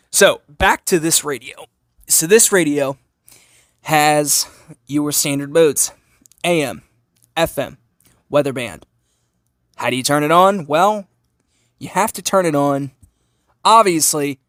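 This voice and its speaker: A man's voice: fairly high pitch, measured pace, pauses often, a bit bright, no room sound, studio quality. A man giving a casual, unscripted hands-on product review in an explanatory way, as a hobbyist. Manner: explanatory, asks and answers own questions. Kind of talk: casual unscripted gadget demonstration. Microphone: phone camera held while demonstrating.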